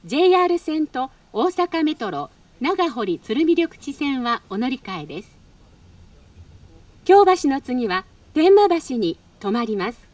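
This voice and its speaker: High voiced lady doing train announcements, very polite, singsong up and down, over the car speakers. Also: sing-song, unhurried, a little mellow, no room sound. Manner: polite, formal, practised delivery. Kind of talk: scripted transit announcement. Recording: recorded off an in-car speaker, slightly distant.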